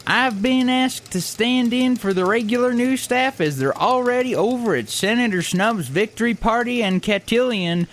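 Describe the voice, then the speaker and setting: Casting: male actor as flustered substitute newsman, very high voice, folksy and bumbling, mock newscast. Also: normal speed, few pauses, a bit bright. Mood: earnest newsreading. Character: bumbling out-of-state stand-in male TV news anchor. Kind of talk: mock newscast comedy acting.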